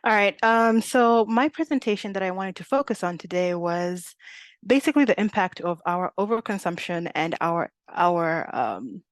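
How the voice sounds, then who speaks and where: A woman's voice: mid-range voice, animated, moderate pace, no echo. A young woman from Uganda giving a casual webinar presentation over a video call, talking with many fillers and small laughs.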